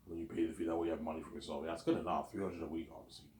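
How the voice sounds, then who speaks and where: A man's voice: a bass voice, steady tone, very fast, a little mellow, echoey room, a little hiss or hum. A man in his thirties from Quebec, rambling in a casual monologue and acting out imagined talks. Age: 30s. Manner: rambling, repeats himself, acts out both sides of imagined talks. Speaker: vlogger.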